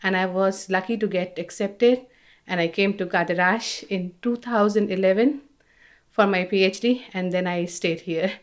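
A woman's voice: medium pitch, moderate pace. A woman in her thirties from India with an Indian accent, answering interview questions in a conversational, explanatory way. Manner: explanatory, frequent "you know". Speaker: engineer, interview guest.

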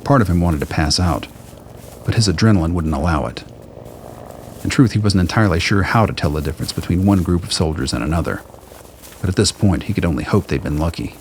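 Deep voice guy reading dramatic audio play narration, ambient noise behind. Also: lively intonation, quick pace, darkish tone.

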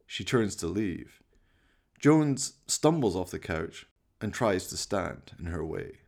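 A man's voice: sits low, big pitch swings, slow, creaky, a little mellow. A man narrating a radio play from a script, describing the action in the present tense.

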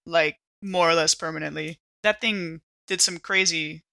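A woman with a bright, forceful voice and plenty of fry plays a tabletop game. She talks casually in a dry-sounding recording, restarting and repeating words as she improvises. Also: lowish voice, fairly even intonation, unhurried, no room sound. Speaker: player in a tabletop RPG podcast.

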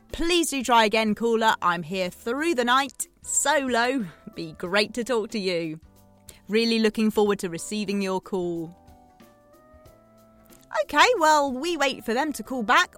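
A woman's voice: a little high, fairly bright tone. A woman playing a chatty, needy late-night radio DJ in a scripted drama, playful and theatrical, coaxing her listeners. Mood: hopeful. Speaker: radio host.

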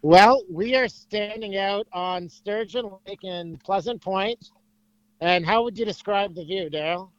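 High-voiced man on a dull phone call. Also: a little slow, a bit bright, no echo. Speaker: phone interviewee, amateur ice fisherman. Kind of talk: casual chat. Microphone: cell phone.

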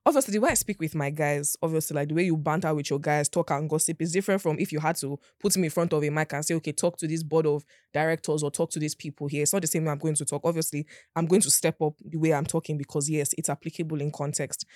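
A woman's voice: deep voice, fast, hardly any pauses, no room sound, studio quality. A young Nigerian woman with a Nigerian accent, arguing her point emphatically in a casual panel chat.

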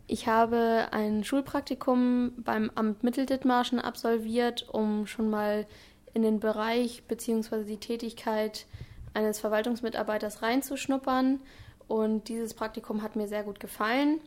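A woman's voice: a little high, level tone, a little slow, a little mellow. A woman of twenty-one, a trainee, answering interview questions earnestly and at length, with some fillers. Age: 20s. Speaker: trainee in public administration.

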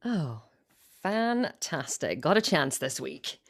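Low-voiced woman co-host, self-mocking. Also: very animated. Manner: self-mocking, brisk links between segments. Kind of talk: casual chat.

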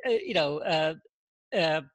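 A man, a podcast guest telling his story in casual interview talk, halting and full of "you know" and repeated words. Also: on the high side, dry recording. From England.

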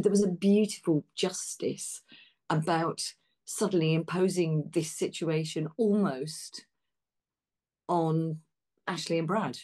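A woman's voice: on the low side, a little mellow. A woman interview guest speaking reflectively and emphatically, often repeating phrases for weight. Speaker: interview guest, theatre practitioner. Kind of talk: conversational reflection.

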